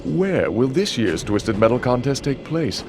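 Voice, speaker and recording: An actor playing a sinister, gloating showman villain in a video game, with a grandiose, drawn-out, theatrical delivery. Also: mid-range voice, very animated. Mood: grim, grandiose. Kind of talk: dramatic villain monologue and narration. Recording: heavily noisy old game audio.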